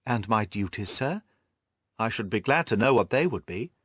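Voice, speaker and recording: A man's voice: on the low side, expressive, normal speed, fairly bright tone, slightly rough, no room sound. A modest young governess from a classic storybook , played by a male reader. The speech is polite and deferential, with varied intonation and a dry, close sound. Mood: politely curious. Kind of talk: audiobook character voice.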